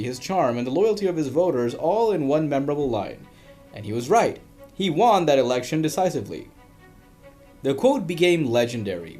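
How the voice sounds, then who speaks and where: A man reading a scripted history documentary as the narrator, with a dramatic storytelling delivery and emphatic pauses. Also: fairly high pitch, dark tone.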